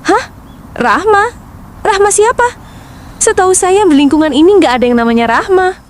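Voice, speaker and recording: Woman voicing a middle-aged neighbor, shrill and blunt, high swooping voice, faint street noise. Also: lively intonation, normal speed. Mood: puzzled. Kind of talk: cartoon voice acting.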